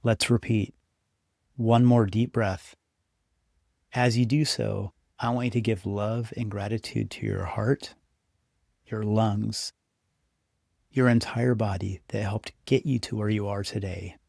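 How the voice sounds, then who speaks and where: Low-voiced man guiding a meditation. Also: steady tone, vocal fry, darkish tone, no room sound, clean studio sound. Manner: unhurried, instructive, encouraging second-person address. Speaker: meditation guide. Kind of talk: guided meditation, scripted narration.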